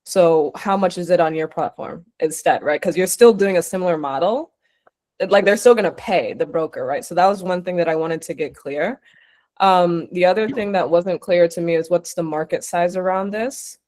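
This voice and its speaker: A woman's voice: fairly low pitch, normal speed, darkish tone. A woman from Uganda, an investor giving spontaneous, conversational feedback with probing questions. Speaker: investor judging pitches.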